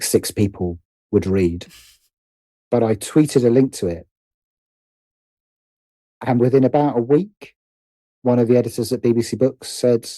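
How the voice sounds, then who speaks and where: A man's voice: fairly low pitch, warm tone, dry recording, poor audio. A middle-aged man chatting as an interview guest, hesitant and often restarting his sentences. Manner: hesitant, self-correcting with repeated false starts. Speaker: podcast guest, writer and producer. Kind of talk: casual interview conversation.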